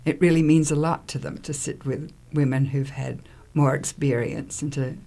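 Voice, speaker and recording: A woman's voice: low-pitched, steady tone, normal speed, creaky, mellow. A woman of seventy-six explaining things conversationally as an interview guest.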